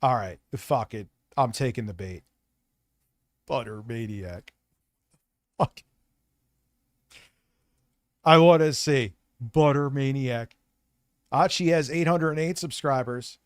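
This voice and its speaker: Man, raspy, live-stream host, blunt and sweary, dry sound. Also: medium pitch, slow pace, pauses often, no echo. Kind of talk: casual live-stream chat.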